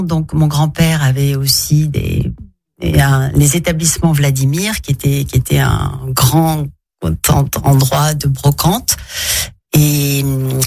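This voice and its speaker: A woman's voice: deep voice, gravelly, darkish tone, no echo, studio quality. A woman actress from Morocco, a guest on a radio interview, telling her life story with many hesitations and restarts.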